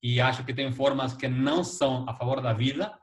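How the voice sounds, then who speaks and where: A man's voice: medium pitch, fairly even intonation, brisk. A man with a Spanish accent in Portuguese, giving informal, reflective closing remarks and searching for his words. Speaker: filmmaker, guest speaker. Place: video call.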